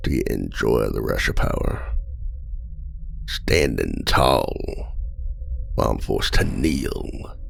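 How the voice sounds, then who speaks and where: A man's voice: animated, slow pace, long pauses, a bit bright, heavily acted. A man playing a charming imprisoned male outlaw trickster in an ASMR roleplay, teasing and flirtatious in a silky voice. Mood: mocking. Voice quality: deep gravelly voice.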